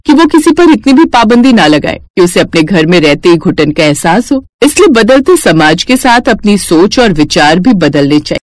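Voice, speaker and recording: A woman's voice: medium pitch, big pitch swings, normal speed, hardly any pauses, dry recording. A woman narrating a scripted moral story as storyteller.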